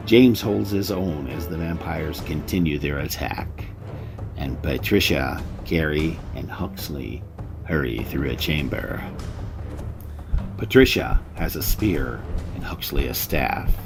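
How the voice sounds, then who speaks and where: A man's voice: extremely low pitch, slow pace, vocal fry, music in the background. A man hosting and narrating a radio drama as a dramatic storyteller. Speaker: host and narrator. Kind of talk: scripted narration.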